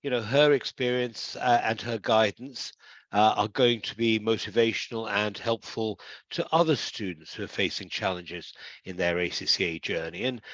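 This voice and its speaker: Rambling, friendly tutor hosting a video chat. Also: male voice, medium pitch, no echo. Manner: hesitant and warm, lots of restarts and fillers. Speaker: accountancy tutor, host. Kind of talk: casual interview chat. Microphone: video call. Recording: dry, slightly dull audio.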